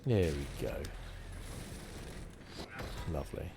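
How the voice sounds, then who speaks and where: A soft-spoken man giving relaxed, understated ASMR gameplay commentary on a close mic. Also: extremely low pitch, slow, noisy background. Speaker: gaming video host. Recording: game ambience behind voice.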